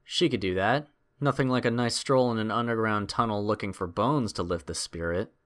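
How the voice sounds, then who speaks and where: A man's voice: lowish voice, normal speed, a bit bright. A man narrating a scripted adventure story in a dramatic storytelling style.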